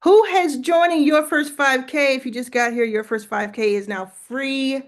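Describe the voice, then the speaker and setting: A woman's voice: high voice, moderate pace. A woman hosting a casual livestream, chatty and encouraging as she gives feedback and thinks aloud. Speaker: livestream host giving business coaching. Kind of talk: casual live coaching chat.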